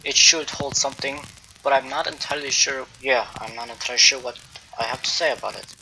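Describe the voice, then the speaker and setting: A man's voice: mid-range voice, steady tone, brisk, pushed and bright, slight background noise, rough recording. A man playing an unsure, curious townsman giving a hesitant, rambling opinion in an amateur radio drama. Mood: unsure, musing.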